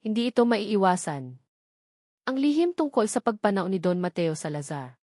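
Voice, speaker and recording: A woman's voice: mid-range voice, big pitch swings, normal speed, darkish tone, no room sound. Filipino woman narrating, dry close sound. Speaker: story narrator. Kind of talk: scripted dramatic story narration.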